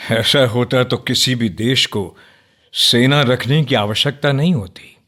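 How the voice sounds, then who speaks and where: An actor in a radio play playing an earnest, outspoken nationalist man who debates firmly but respectfully, in deliberate, declamatory phrases. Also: medium pitch, a bit bright. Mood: reproachful.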